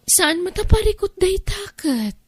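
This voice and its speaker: A woman's voice: very high voice, sing-song, measured pace, no echo, exaggerated voice. An Ilocano-accented woman playing a coaxing, insistent wife in a radio-style drama, speaking emphatically.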